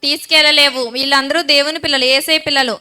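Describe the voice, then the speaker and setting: High, bright-voiced woman teaching children. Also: little pitch movement, fast talker. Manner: firm, questioning, exhorting. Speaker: puppet show presenter addressing children. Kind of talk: animated children's church teaching.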